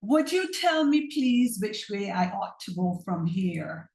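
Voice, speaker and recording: A woman's voice: mid-range voice, big pitch swings, slow, a little mellow, far from the mic. A Barbadian woman reading the lines of a polite, curious young girl from a storybook. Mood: asking. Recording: roomy echo.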